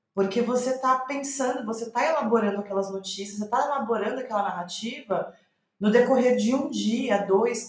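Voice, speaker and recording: A woman with a Brazilian Portuguese accent speaking reflectively in an interview, thinking aloud and restating her phrases as she goes. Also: a little high. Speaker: playwright, podcast guest. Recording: roomy, echoey sound.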